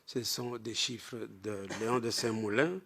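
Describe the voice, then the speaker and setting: A man's voice: medium pitch, fairly even intonation, unhurried, bright, forceful tone. A man delivering a formal academic lecture from a prepared text, leaning emphatically on the openings of his sentences. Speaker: lecturer presenting with maps.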